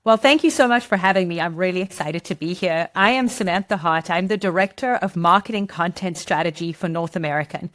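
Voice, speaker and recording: Middle-aged South African woman, podcast guest, fairly low voice, chatty and explanatory. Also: a little fast, hardly any pauses, a bit bright. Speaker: guest, marketing director. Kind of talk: podcast interview.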